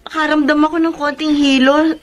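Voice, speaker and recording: High-pitched meek young wife, played by a woman. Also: little pitch movement, fairly quick, natural voice. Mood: apologetic, pleading. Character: young pregnant wife, gentle, meek and apologetic. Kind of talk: Filipino radio drama acting.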